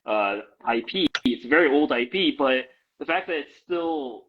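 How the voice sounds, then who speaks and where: A man's voice: on the high side, animated, normal speed, slightly rough, lo-fi sound. A man answering interview questions in a loose, casual way, full of fillers and restarts. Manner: hesitant, many fillers and restarts. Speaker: guest.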